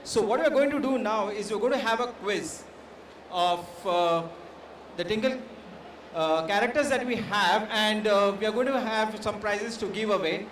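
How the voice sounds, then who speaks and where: Higher-pitched Indian man in an echoey, noisy venue. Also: normal speed, fairly bright tone. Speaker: art director and quiz co-host. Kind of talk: informal live event address to an audience. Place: comic convention event. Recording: echoey, noisy event venue, distant pickup.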